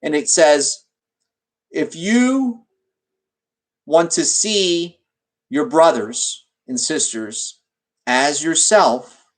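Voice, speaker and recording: A man leading a guided meditation in an instructional way, speaking deliberately in short phrases with pauses mid-sentence. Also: fairly high pitch, expressive, poor audio.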